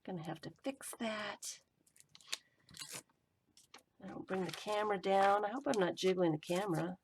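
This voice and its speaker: A woman crafting and talking to herself as she works, her voice going hoarse. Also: medium pitch, moderate pace, noisy background. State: voice giving out, hoarse. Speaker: collage journaling vlogger. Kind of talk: casual running commentary while crafting.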